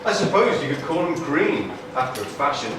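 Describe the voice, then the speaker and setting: A man narrates a live children's puppet show with wry storytelling. His fairly high voice comes from far off in a very echoey hall, with clear background noise. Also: sing-song, fairly quick.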